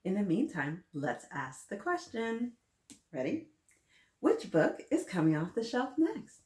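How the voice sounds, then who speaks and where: A woman's voice: medium pitch, sing-song, unhurried, darkish tone. A woman hosting a story time for young children, reading aloud and chatting to them with encouraging, simple questions. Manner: encouraging, addresses listeners directly with simple questions. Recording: roomy, echoing room sound.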